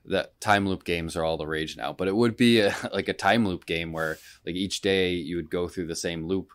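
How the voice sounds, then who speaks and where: A man co-hosting a podcast in relaxed, casual conversation. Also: low-pitched, normal speed, no echo. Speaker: podcast co-host. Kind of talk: casual chat.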